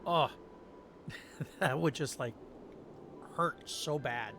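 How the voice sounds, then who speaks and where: A man's voice: a little high, drawn-out words, fairly bright tone. Man streaming a game over music. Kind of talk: casual live playthrough commentary.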